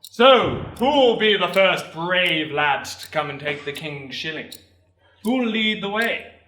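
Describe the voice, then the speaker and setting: Man acting a booming, rousing recruiting sergeant major. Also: animated, slow, full character voice. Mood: rallying. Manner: loud, declamatory oratory. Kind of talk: theatrical monologue acting. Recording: roomy, distant microphone.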